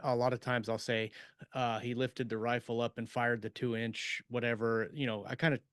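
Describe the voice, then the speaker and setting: A man chats casually as a podcast host. His voice sits at a middling pitch, recorded very dry with hardly any room sound. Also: level tone, moderate pace, a bit bright, smooth, no room sound. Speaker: podcast host and fiction writer. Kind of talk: casual shop talk.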